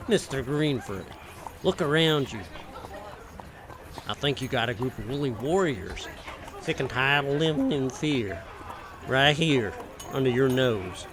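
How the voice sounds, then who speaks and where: A man's voice: medium pitch, animated, unhurried, pauses often, background noise, close to an everyday voice. A man playing a fed-up, defiant townsman in an audio drama, earnest and challenging. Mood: fed up, urging. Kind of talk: western audio drama acting.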